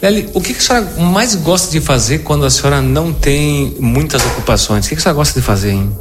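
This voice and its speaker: A man's voice: on the high side, lively intonation, fast talker, a little hiss or hum. A man asking questions conversationally as an interviewer.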